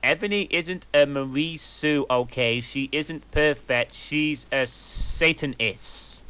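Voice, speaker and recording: A man's voice: fairly high pitch, slow, sharp tone, clean tone, pitched above the performer's own voice. A man voicing a whiny, defensive teenage girl who wrote the fan story. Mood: indignant. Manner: indignant, whiny.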